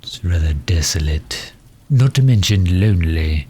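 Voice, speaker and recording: A man acts out a gentlemanly supervillain for an intimate ASMR audio drama. His voice is very low and husky, delivered slowly with breathy edges. He is wordy and pedantic, gently condescending, and fond of his hero, with hesitant pauses between thoughts. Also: expressive, exaggerated voice. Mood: wistful, fond.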